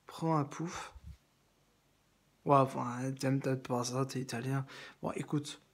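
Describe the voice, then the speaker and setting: Man, husky voice, rambling direct address, repetitive, casual monologue. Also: mid-range voice, fairly even intonation, slow. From France. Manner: meandering, repeats himself, many restarts and fillers. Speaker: video blogger addressing someone.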